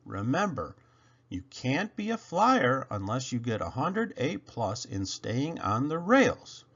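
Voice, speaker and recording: A man's voice: mid-range voice, sing-song, takes it slowly, fairly bright tone, breathy edge, highs cut off, heavily acted. A man voicing an old engineer schoolmaster in a children's storybook, encouraging but firm, with emphatic instruction. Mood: instructive. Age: older. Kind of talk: children's storybook character reading.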